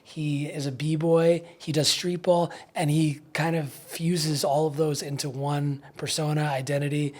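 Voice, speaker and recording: A man interviewing casually in a conversation, informal and halting, rephrasing his questions as he goes. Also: on the high side, level tone. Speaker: podcast producer acting as interviewer.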